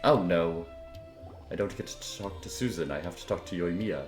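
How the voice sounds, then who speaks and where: A woman's voice: very deep voice, moderate pace. A woman giving casual live commentary as she plays a video game, with wry, offhand quips and short reactions. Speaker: game streamer. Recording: roomy echo, game music behind. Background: video game music.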